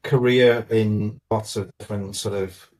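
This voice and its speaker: An older man, a semi-retired mentor, explaining things in a measured, conversational way with frequent restarts. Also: lowish voice, fairly even intonation, a little mellow. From Wales. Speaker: guest mentor. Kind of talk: relaxed conversational interview.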